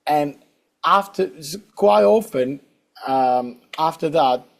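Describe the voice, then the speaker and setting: A man's voice: a little high, animated, takes it slowly, creaky. A middle-aged man with a Greek accent, answering interview questions hesitantly with many fillers and restarts. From Greece. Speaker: guest saxophonist.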